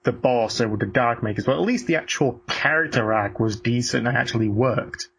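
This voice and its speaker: A man chatting casually as a co-host, blunt and halting in his delivery. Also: medium pitch, vocal fry, a bit bright. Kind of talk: casual chat. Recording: faint background hum.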